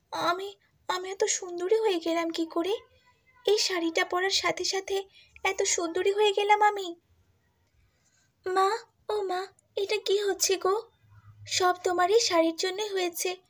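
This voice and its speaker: A woman's voice: extremely high pitch, fairly even intonation, unhurried, long pauses. A woman playing a meek, dutiful young stepdaughter in a cartoon fairy tale, speaking politely and eager to please. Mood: wondering.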